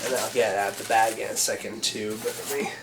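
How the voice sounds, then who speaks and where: A man with a cold, sounding congested, talking through an unboxing at home in a halting, unscripted way with many pauses and fillers. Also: fairly low pitch, fairly even intonation, gravelly, very noisy. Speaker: vacuum hobbyist doing an unboxing.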